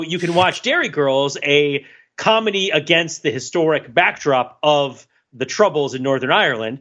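A middle-aged American man co-hosting a podcast, talking casually and analytically in a rambling, animated way. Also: medium pitch, dull audio. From United States. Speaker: podcast co-host. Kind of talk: casual discussion and cultural commentary.

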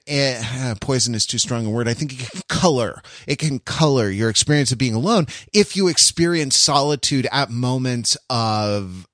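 Male podcast host, mid-pitched, casual and reflective, halting and repetitive delivery, very dry recording. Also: a bit bright, dry recording. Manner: rambling, halting, circles back repeating phrases.

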